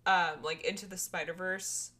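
A young woman co-hosts a casual movie podcast, chatty and quick to giggle, with "like" in nearly every sentence. Her voice is bright and forward, with a little tension in it. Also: fairly low pitch, steady tone. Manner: giggly, chatty, says "like" a lot. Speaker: podcast co-host. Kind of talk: casual chat.

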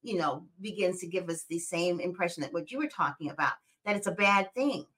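A woman in her sixties hosting a podcast, conversational and animated, often saying "you know". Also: on the low side, a little fast. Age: 60s. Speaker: podcast host. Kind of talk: casual interview chat.

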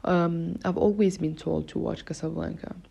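A woman's voice: lowish voice, steady tone, normal speed, creaky, dark tone, breathy edge. A young adult woman from Morocco hosting a solo podcast, chatting casually with many fillers and self-corrections. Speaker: podcast host. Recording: dull lo-fi recording.

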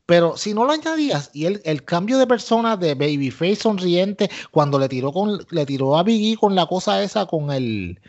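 A man's voice: sits high, very animated, moderate pace, few pauses, darkish tone. A man with a Puerto Rican accent co-hosting a podcast, giving opinionated casual commentary, emphatic and repetitive, dropping English phrases into his Spanish. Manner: emphatic, repeats himself, mixes English into Spanish. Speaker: wrestling podcast co-host. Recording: dull lo-fi audio.

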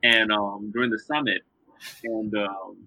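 A man hosting a podcast on a live video call, talking in a halting way full of ums and restarts. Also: lowish voice, fairly even intonation, bright, forceful tone, dry recording. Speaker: podcast host. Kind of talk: casual live-stream interview. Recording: dull video call audio.

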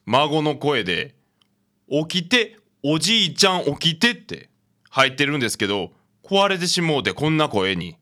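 Guy doing an old kansai landlord grandpa, chatty, bright forceful voice, radio drama. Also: a little high, big pitch swings, normal speed. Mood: sheepish. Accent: Kansai Japanese.

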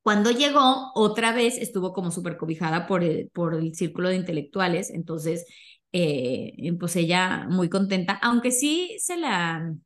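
A woman's voice: on the low side, expressive, a little slow. Young Mexican woman, chatty and gossipy. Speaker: podcast host. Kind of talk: casual conversational storytelling.

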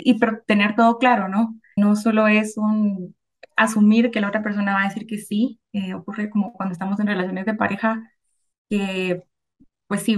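Thoughtful woman in her twenties. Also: mid-range voice. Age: 20s. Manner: thoughtful, hesitant, many fillers. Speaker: guest. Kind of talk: casual group discussion.

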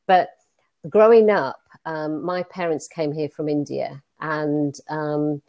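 A middle-aged woman from Leeds with a Northern English accent, a guest telling stories in a relaxed interview with many fillers and restarts. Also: low-pitched, slow pace, dry recording. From Leeds, England. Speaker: guest, money advisor. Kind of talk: conversational interview.

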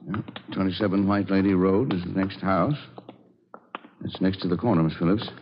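An actor playing a calm, authoritative medical officer with a measured, reassuring manner in a 1940s radio drama. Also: male voice, low-pitched, music in the background, lightly acted. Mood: thoughtful. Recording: dull old radio broadcast.

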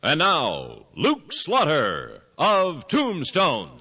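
A man's voice: on the high side, huge pitch swings, very slow, sharp tone, slightly rough, faint music behind. A man with an American accent giving a dramatic 1950s radio show introduction. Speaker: show announcer.

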